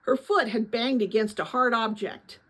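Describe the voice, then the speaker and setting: A woman narrating a children's novel as a dramatic read-aloud, with an expressive delivery aimed at young listeners. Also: a little high. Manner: expressive, pauses to address young listeners with questions.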